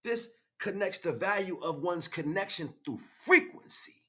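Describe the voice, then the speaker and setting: An American man giving an emphatic, freestyle spiritual monologue in street slang, repeating phrases as he goes, with a husky voice. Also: sits high, expressive, moderate pace, sharp tone. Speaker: spiritual livestream speaker.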